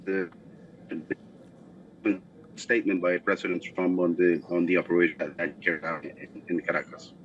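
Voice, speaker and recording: A low-voiced Venezuelan man speaks English in a hesitant, restarting way, full of fillers. His remote link sounds muffled and dull, with faint noise in the background. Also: level tone. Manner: halting, many fillers and repeated words. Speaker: political analyst guest. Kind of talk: live remote news interview.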